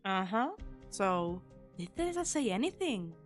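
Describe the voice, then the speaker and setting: A woman's voice: medium pitch, very animated, a little slow, faint music behind. A woman playing a brash, cocky, menacing young female mercenary in an amateur game fan dub, with a sardonic, teasing manner. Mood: sardonic, casual. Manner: sardonic, foul-mouthed, teasing.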